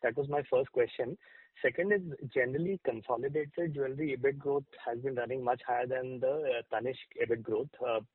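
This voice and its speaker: Husky man on a phone line. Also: medium pitch, fairly quick, no room sound. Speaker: financial analyst asking questions. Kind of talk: earnings call questioning. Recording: band-limited phone line.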